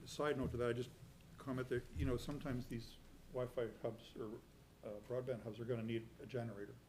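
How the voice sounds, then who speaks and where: A man on a town selectboard, speaking deliberately in a public meeting and reading proposed wording aloud. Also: mid-range voice, level tone, a little mellow, a little hiss or hum. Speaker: selectboard member.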